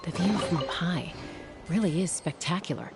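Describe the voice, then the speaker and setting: A woman playing a composed, assured young warrior in a video game, speaking in a measured, matter-of-fact way. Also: fairly low pitch, loud music behind the voice, lightly acted. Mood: calm, explanatory.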